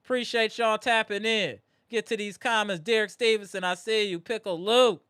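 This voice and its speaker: A man hosting a live postgame basketball reaction stream, animated and greeting viewers in the chat, his voice strained from yelling. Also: high voice, normal speed, clean tone, dry recording, clean studio sound. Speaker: livestream host.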